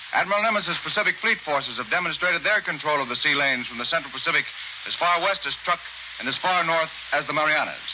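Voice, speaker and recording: An American man reading a formal, steady war dispatch as a correspondent on 1940s radio. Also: fairly high pitch. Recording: muffled, crackling 1940s shortwave radio relay.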